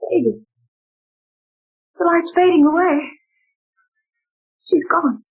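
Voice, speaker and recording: An actress playing a genteel woman recounting a ghostly night in 1940s radio drama style, theatrical and breathy. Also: very high-pitched, expressive, takes it slowly, heavily acted. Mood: frightened. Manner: dramatic, exclaiming. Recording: tinny old radio broadcast.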